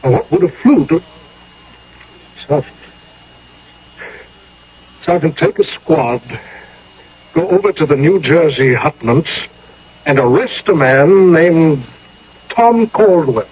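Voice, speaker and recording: A muffled old radio drama recording carries a grave general. His voice is somewhat high and husky, and he speaks with measured, commanding authority. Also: very animated, full character voice. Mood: stern, probing. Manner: measured, authoritative interrogation and orders. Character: grave, commanding male general of the Revolutionary War. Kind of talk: 1980s radio drama acting.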